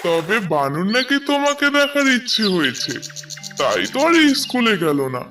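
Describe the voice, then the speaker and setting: A man's voice: sits high, sing-song, moderate pace, far from the mic, over music. A man voicing a joking young male monkey in a cartoon, a loyal and teasing friend. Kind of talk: cartoon dialogue dub.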